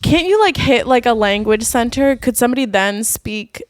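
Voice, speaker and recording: A woman's voice: a little high, animated, moderate pace, no room sound. A young woman from Boston co-hosting a podcast, reflective and questioning in casual chat. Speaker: podcast co-host.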